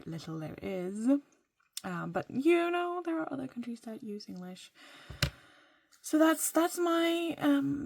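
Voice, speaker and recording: A woman from Norway, whose first language is Norwegian, talks casually and playfully at a middle pitch. Her voice has a light gravelly fry, and she often drops into a soft whisper as she rambles. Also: dry recording. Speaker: vlogger. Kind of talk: casual rambling vlog while writing a journal.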